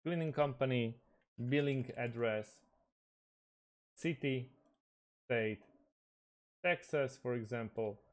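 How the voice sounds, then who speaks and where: A man presenting a step-by-step screen tutorial, speaking haltingly with pauses mid-phrase. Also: mid-range voice.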